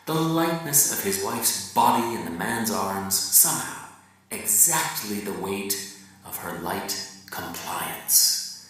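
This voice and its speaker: A man giving a dramatic literary reading like a raconteur's monologue, theatrical and deliberate with his pauses. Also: lowish voice, animated. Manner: theatrical, deliberate pauses, swinging from hushed to emphatic. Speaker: reader performing a novel excerpt. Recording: echoey room, distant from the mic.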